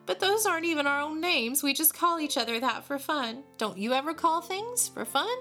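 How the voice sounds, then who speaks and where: A woman voicing a tearful, excitable little girl in a storybook reading. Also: moderate pace, faint music behind. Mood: eager. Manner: high, tearful and breathless. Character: sobbing little red-headed girl.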